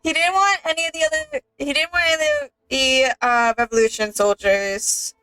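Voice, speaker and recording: A woman streaming a game, talking casually. Her voice is high and bright, with soft music playing underneath. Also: fairly even intonation, normal speed, smooth, poor audio. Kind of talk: casual gameplay chat.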